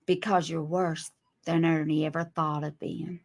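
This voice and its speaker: A woman's voice: sits low, level tone, unhurried. Woman in her forties, scolding. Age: 40s. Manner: scolding, sarcastic, drawling. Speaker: livestream guest. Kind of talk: live stream rant at critics.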